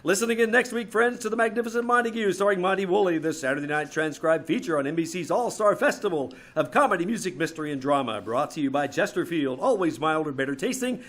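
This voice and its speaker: A man's voice: a little high, animated, fast talker, few pauses, fairly bright tone. A man reading scripted sponsor spots and credits as an old-time radio announcer, with emphatic salesman polish. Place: small community theater stage.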